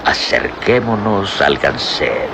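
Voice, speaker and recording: An actor playing a scheming villain professor in a radio drama, sly and chuckling. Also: male voice, deep voice, sing-song, takes it slowly, vocal fry, background noise, exaggerated voice. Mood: gloating. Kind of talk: vintage radio drama acting.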